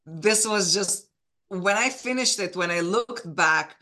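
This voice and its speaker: A woman's voice: lowish voice, takes it slowly, pushed and bright. A woman from Bulgaria with a Bulgarian accent, a guest in an interview telling her career story earnestly and with enthusiasm, with small restarts. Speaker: guest, film producer. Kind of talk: interview conversation.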